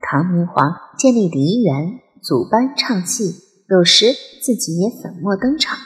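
A woman playing a lively, playful and chatty nineteen-year-old girl in an audio drama. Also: lowish voice, unhurried. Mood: explaining, thoughtful. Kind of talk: Chinese audio drama acting.

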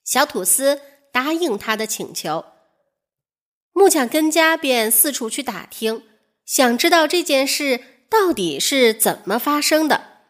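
A woman reads a children's fairy tale as a storyteller. Her voice is fairly high and very lively in pitch, and she takes her time, narrating with measured expression.

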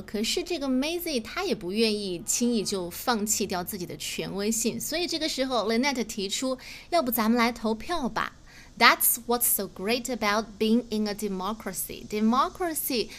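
A woman's voice: fairly high pitch, expressive, normal speed. A woman whose native language is Mandarin, explaining phrases as the host of a language lesson. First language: Mandarin Chinese. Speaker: English teacher, host. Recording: faint background noise.

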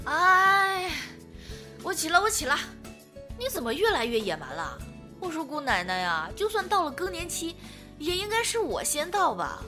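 A woman's voice: very high voice, very animated, normal speed, over music. A woman acting a strong-willed businessman in his early thirties in a Chinese radio drama. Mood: sleepy, grumbling.

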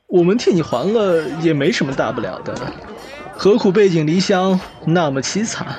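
A man acts out a cheeky young nobleman from an audio drama, with a highish voice that swoops freely. He chatters and exclaims, teasing and dramatizing as he goes. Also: expressive, moderate pace, music in the background, close to an everyday voice. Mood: indignant, animated. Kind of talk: Chinese audio drama acting.

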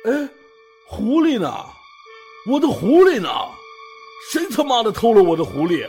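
A man playing a gruff, crude, gloating hunter in a Chinese audio drama. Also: very high voice, very animated, measured pace, heavily acted. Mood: outraged. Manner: crude, swaggering. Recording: loud music behind the voice.

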